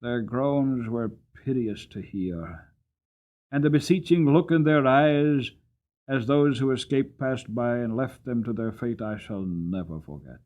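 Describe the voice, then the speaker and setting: A man voicing an old war horse in a storybook, mournful and proud, with solemn, measured, emotive delivery. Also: medium pitch, narrow, tinny audio, close to an everyday voice. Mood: grieving, grave. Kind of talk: dramatic audiobook reading.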